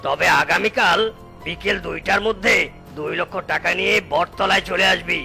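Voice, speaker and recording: A man voicing a menacing male kidnapper villain in a cartoon, gravelly and bullying. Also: high voice, moderate pace, pushed and bright, faint music behind, heavily acted. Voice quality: gravelly, harsh. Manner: threatening, bullying. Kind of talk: cartoon voice acting.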